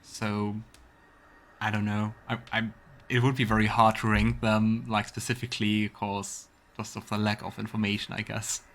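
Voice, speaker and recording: A man's voice: on the low side, flat delivery, moderate pace, a bit bright. A man hosting a let's play with casual gameplay commentary, musing and thinking aloud. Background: game music.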